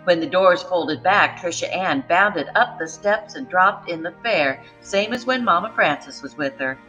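A woman reading a children's storybook aloud with expressive, dramatic narration. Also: lowish voice, a little slow, highs cut off, faint music behind.

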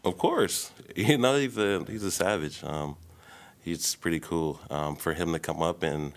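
A young man, a baseball player, answering questions at a post-game press conference into a desk mic, relaxed, with many ums and you-knows. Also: deep voice, measured pace. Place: press room before a logo backdrop. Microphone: desk mic on a stand.

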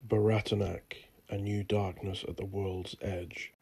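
A man narrating scripted horror fiction in a theatrical, ominous manner, deliberately drawing out his phrases. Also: sits low, little pitch movement, creaky. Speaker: audio drama narrator.

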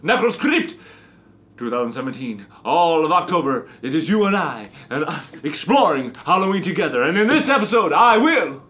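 Hammy, high-pitched Transylvanian vampire. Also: male voice, sing-song, moderate pace, fairly bright tone. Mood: theatrical. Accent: put-on Transylvanian. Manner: hammy, ranting, profane. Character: theatrical, cranky, ancient male vampire from Transylvania hosting Halloween show. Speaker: host. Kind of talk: comic in-character hosting.

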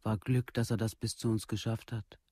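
An actor playing a worried, worn-down village parent in a radio play, in plain, halting colloquial speech. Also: male voice, on the low side, level tone, mellow, no room sound, clean studio sound, natural voice. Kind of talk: documentary radio play monologue.

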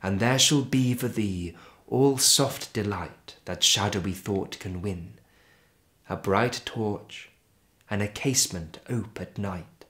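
A man reciting poetry in a dramatic, expressive and measured manner, with deliberate pauses. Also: fairly low pitch. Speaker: poetry reader.

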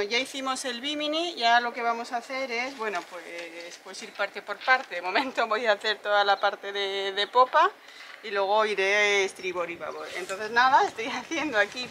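A woman from Spain talks casually to the camera while she works aboard a moored sailboat. Her voice is bright and sharp, and her talk is loose and rambling. A faint hiss of noise sits behind her. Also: medium pitch. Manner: chatty, loose and rambling, talking to the dog. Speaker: vlog co-host on a sailboat. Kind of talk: casual vlog chat to camera.

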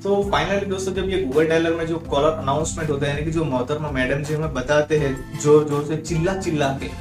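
A young adult man gives a step-by-step tutorial in a chatty way, addressing his viewers as friends. His voice is medium to slightly high for a man, and music plays behind him. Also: steady tone, brisk. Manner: repeatedly addresses viewers as friends, mixes in English words. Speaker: tech tutorial YouTube host. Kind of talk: tutorial presentation to camera and screen walkthrough. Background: background music.

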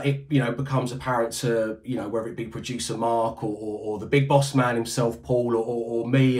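A British man in his forties chats loosely on a headset from a room at home. He rambles and restarts, sometimes dropping in a wry joke at his own expense. His voice sits at an ordinary pitch. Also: a little mellow. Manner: rambling, self-deprecating, many restarts. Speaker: radio breakfast show host. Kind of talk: casual interview chat. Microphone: headset on video call.

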